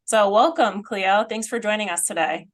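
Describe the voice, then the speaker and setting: A woman's voice: mid-range voice, normal speed. A woman hosting a medical podcast, asking questions in a friendly, casual way. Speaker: podcast host. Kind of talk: podcast interview.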